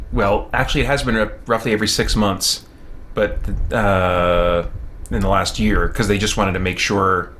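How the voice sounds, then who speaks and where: A man's voice: low-pitched, fairly bright tone, a little hiss or hum. A man hosting a livestream, chatting casually in a rambling, self-amused way with frequent laughter. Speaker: streamer, host.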